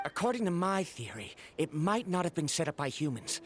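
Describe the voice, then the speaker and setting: A man's voice: fairly high pitch, very animated, fairly quick. An actor playing an earnest male researcher in a video game, explaining things in a formal, pedantic way. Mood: earnest, eager. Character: earnest scholarly male researcher of ancient dragons. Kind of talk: scripted video game dialogue.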